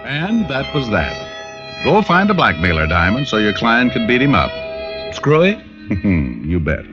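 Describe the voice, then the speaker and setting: An actor playing a self-assured, wisecracking male private detective in an old-time radio drama, delivering wry, deadpan quips. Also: mid-range voice, a little slow. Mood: wry narrating. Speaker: lead actor and narrator. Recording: muffled vintage radio recording with music.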